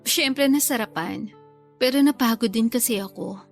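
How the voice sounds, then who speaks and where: A woman's voice: on the high side, normal speed, gravelly, soft background music. An actress playing an anxious, coy young mother who cheats on her partner, in casual Tagalog radio drama dialogue. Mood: coy, embarrassed.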